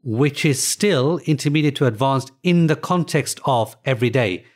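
A middle-aged man, the guest of an interview, talking in a relaxed, thoughtful way with plenty of "you know" fillers. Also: medium pitch, moderate pace. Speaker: guest, author of language books.

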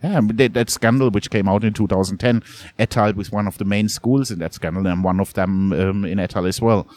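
A middle-aged man from Germany, a journalist being interviewed, talking in a halting way with many restarts and repeated words. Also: on the low side, darkish tone. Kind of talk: candid conversational interview.

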